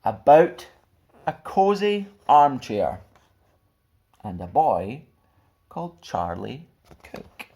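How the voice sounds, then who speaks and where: A man tells a children's story with a very animated voice. His pitch moves around freely and he reads with theatrical expression, like the host of a storytime show. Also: takes it slowly, long pauses. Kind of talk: expressive children's picture book reading.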